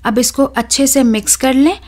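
Higher-voiced woman explaining a recipe. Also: moderate pace. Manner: steady, instructive, addresses viewers directly. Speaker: cooking channel host. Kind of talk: voice-over recipe tutorial. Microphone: voice-over, close mic.

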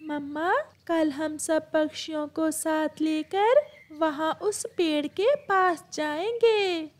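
An eager little boy bird voiced by a woman in a children's cartoon story. The voice is high and the words come very slowly, with a proud, determined manner.

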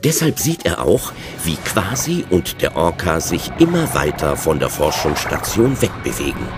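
A man narrates a children's radio play in a deep voice. His delivery is hugely expressive, rising and falling dramatically, with clear music behind him. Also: normal speed.

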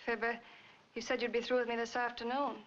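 An American actress playing a cool, teasing, self-interested young model in 1940s film style. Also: female voice, on the high side, level tone, fast talker, lightly acted. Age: young adult. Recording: noisy, dull 1940s film soundtrack.

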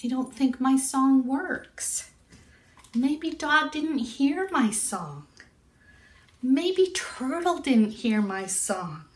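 An earnest storybook cat, acted out by a woman, wistful and singsong. The voice sits high and sounds a step away from the mic in a roomy, echoing space. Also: expressive, moderate pace, few pauses. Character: earnest female cartoon cat who sings meows. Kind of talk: children's storybook character voice. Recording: roomy echo, distant from the mic.

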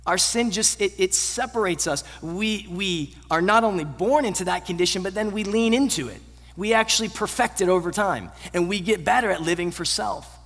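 A man in his thirties delivers a sermon with a fairly high voice. He speaks earnestly, pushing up to emphatic peaks and then falling away to quiet, low endings. His voice carries a long reverb from a large church auditorium. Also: normal speed. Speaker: pastor.

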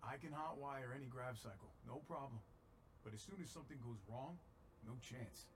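A man's voice: mid-range voice, normal speed, a little hiss or hum, lightly acted. Practical robot pilot played by a man. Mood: matter-of-fact. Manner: matter-of-fact. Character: practical, level-headed male robot-bodied pilot. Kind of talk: video game cutscene acting.

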